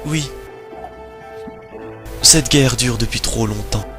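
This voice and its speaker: A man voicing a suave, formal military director, polite and measured, in an amateur video game dub. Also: mid-range voice, over music, natural voice. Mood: businesslike.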